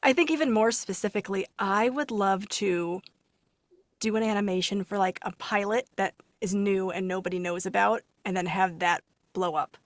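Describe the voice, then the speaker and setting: A woman chatting casually on a podcast panel, expressive and giggly. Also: mid-range voice, normal speed, no echo. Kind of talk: casual chat.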